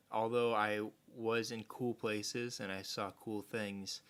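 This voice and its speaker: A man from the United States hosting a podcast, explaining things in a relaxed, rambling way. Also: fairly low pitch. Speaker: podcast host. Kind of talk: casual chat.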